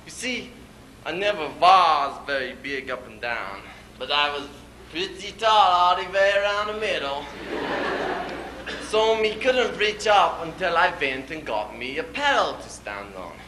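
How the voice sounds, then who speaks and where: A man puts on a stout farmer, telling comic tales in mangled English. His voice is high and swings up and down with a quick temper. The recording is echoey. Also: animated, sharp tone, a little hiss or hum. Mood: rueful, amused. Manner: broken English with comically muddled grammar. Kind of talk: comic dialect monologue.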